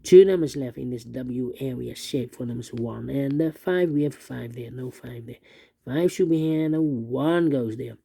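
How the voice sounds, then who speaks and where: A man with a very muted, dark voice that creaks at the edges. He talks through a puzzle step by step, methodically, in repeating sing-song phrases. The sound is dry and close. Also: mid-range voice, normal speed, dry recording. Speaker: puzzle instructor. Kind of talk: unscripted step-by-step sudoku tutorial, thinking aloud.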